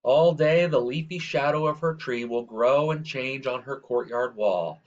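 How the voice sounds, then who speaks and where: A man reading a children's story aloud in a measured, expressive way, drawing out the ends of phrases. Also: mid-range voice, dull audio. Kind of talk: read-aloud scripted narration.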